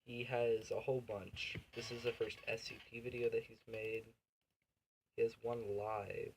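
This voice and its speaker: A man hosting a reaction video, chatting casually in a loose, unhurried, offhand way. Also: medium pitch, flat delivery, low-quality recording. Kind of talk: casual chat. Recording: faint background noise.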